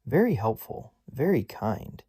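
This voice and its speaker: A man's voice: mid-range voice, sing-song, unhurried, dark tone, slightly rough, no echo, clean studio sound, voice pushed up. A man voicing an earnest young man who talks with a slightly condescending, big-brother tone. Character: young man, earnest undercover agent, sibling-like.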